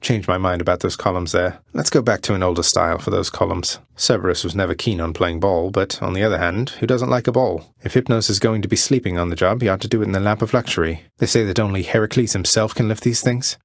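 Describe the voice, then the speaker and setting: A man's voice: low voice, fast talker, gravelly, few pauses, no room sound. A man voicing a sardonic young underworld prince in a video game, with a wry, courtly, understated delivery. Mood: casually decisive. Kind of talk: scripted video game character lines.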